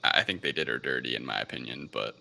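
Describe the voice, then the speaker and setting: A man's voice: very deep voice, lively intonation, quick pace, creaky, sharp tone. A man hosting a podcast, talking casually through a film review in a somewhat hesitant way. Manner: hesitant, unsure of pronunciations. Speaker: podcast host.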